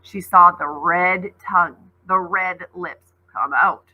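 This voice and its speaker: A woman's voice: on the low side, fairly even intonation, slow, dull audio. A woman teacher reading a story aloud dramatically, with conversational asides to explain it.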